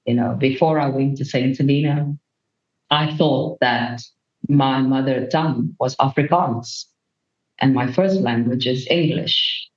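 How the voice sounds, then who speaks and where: Earnest woman, roomy dull sound. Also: extremely low pitch. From Namibia. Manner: earnest, measured, thoughtful. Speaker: guest. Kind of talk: reflective interview answer. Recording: roomy, dull remote recording.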